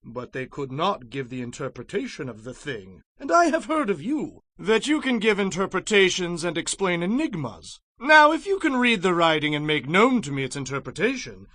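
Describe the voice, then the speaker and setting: A man's voice: sits high, sing-song, moderate pace, few pauses, sharp tone, no echo, exaggerated voice. An actor playing an imperious, anxious ancient king in a scripture drama, theatrical and commanding. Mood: imperious, agitated.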